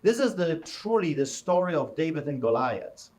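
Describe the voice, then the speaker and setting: A man's voice: a little high, sing-song, normal speed. A middle-aged man with a Polish accent, Polish his first language, preaching fiery and mocking to a crowd. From Poland. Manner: forceful, mocking, rising to shouts. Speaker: street pastor.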